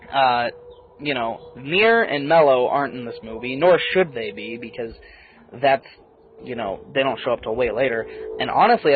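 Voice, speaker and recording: A man's voice: medium pitch, narrow, tinny audio, slight background noise. A man, a native English speaker, giving a blunt, casually profane and opinionated movie review in a conversational style.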